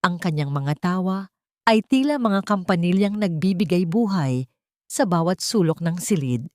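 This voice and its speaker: A woman's voice: on the low side, lively intonation, normal speed, a little mellow, no echo, clean studio sound. A woman with a Filipino accent reading a scripted dramatic story as narrator.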